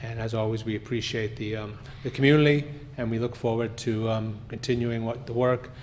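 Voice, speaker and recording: A man addresses a town board during public comment, pausing often on fillers as he goes. His delivery is flat and even. A faint noise sits under the recording of the meeting room. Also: medium pitch. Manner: halting, many fillers.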